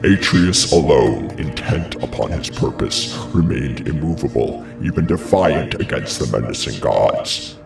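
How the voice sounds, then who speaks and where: An actor playing a grave, horrified messenger in a classical tragedy, declaiming a dramatic account in a gravelly voice. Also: male voice, very deep voice, lively intonation, measured pace, roomy sound, recorded from a distance, heavily acted. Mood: horrified, solemn. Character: horrified royal messenger recounting a ghastly sacrificial murder. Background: music.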